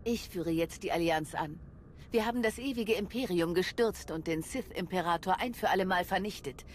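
A woman's voice: on the low side, normal speed, a little hiss or hum, close to an everyday voice. A woman playing a resolute Jedi commander in a German game dub, composed and authoritative.

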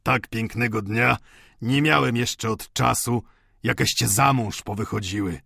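A man's voice: medium pitch, a little slow, creaky, pushed and bright, breathy edge, dry recording, studio quality, full character voice. A man voicing a doting old father, effusive and sentimental, pleading fervently with the daughter he adores. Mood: happy, fervent. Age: older.

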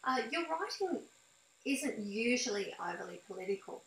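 A middle-aged woman conducts a conversational interview in a bright voice. Her phrasing is thoughtful and somewhat hesitant. She sits in a small room on a video call, with noticeable echo. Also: on the high side, sing-song, normal speed, a little hiss or hum. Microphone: video call webcam setup. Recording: roomy, echoey video call audio.

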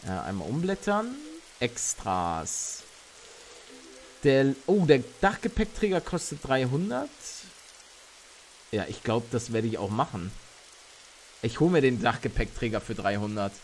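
A young man streaming a game live, chatting with his viewers and reacting loudly, close to a studio mic at home. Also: medium pitch, slight background noise. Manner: chatty, reads chat aloud, bursts into excited shouts. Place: home streaming room, indoors. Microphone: studio mic on arm near face.

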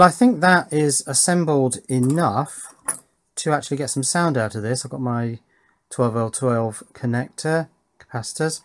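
A man's voice: medium pitch, vocal fry. Man thinking aloud at a workbench. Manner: thinking aloud while working. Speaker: electronics hobbyist presenter. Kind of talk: casual hands-on build commentary. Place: indoors at a workbench.

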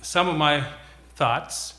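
Slow, bright-voiced man in echoey room. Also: on the high side. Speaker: school board candidate. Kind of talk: candidate forum answers. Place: public access TV studio. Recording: roomy studio echo.

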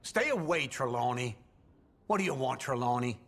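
An actor playing a grumbling older outlaw camp loafer in a western video game, with a gravelly American drawl. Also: male voice, medium pitch, animated, normal speed, a bit bright. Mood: irritable.

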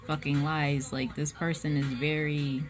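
A young woman with a low, deep speaking voice gives a blunt, unfiltered tarot reading, talking straight to her phone camera in a small room at home. She swears freely and drifts through "um" and "like" as she goes. Music plays clearly underneath her. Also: little pitch movement, unhurried. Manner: frank, blunt and swearing, with frequent "um" and "like". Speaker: tarot reader. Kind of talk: casual spoken tarot reading. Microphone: phone camera at close range.

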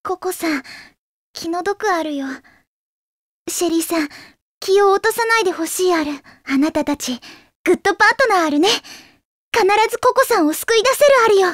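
A woman's voice: very high voice, lively intonation, normal speed, a bit bright, dry recording, clean studio sound. A voice actress playing an earnest, devoted girl fighter in an anime game. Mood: gentle, hopeful.

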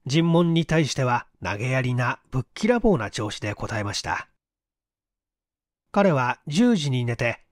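A man reading a mystery story aloud as scripted audiobook narration, in an expressive, literary manner. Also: mid-range voice, no echo, clean studio sound. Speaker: audiobook narrator, stage actor.

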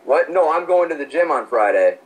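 A man co-hosting a podcast, giving quick, blunt, decisive opinions in casual chat. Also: fairly high pitch, lively intonation, breathy edge, low-quality recording. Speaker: podcast co-host.

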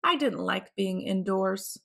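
A woman reading a picture book aloud for children, with clear, expressive phrasing. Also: medium pitch, slow. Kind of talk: read-aloud storybook narration.

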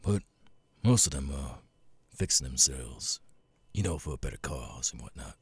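A man voicing a gruff, world-weary cat demon bartender in an ASMR audio roleplay, raspy and laconic, with casual swearing and long pauses. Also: lively intonation, no echo, full character voice. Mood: weary, matter-of-fact. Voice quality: raspy, very deep gravelly voice. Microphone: close, dry studio mic.

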